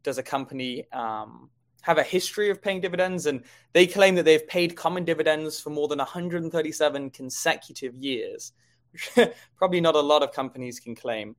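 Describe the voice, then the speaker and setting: A man's voice: a little high, fairly quick. A man co-hosting a stock market show, giving conversational commentary on companies and markets.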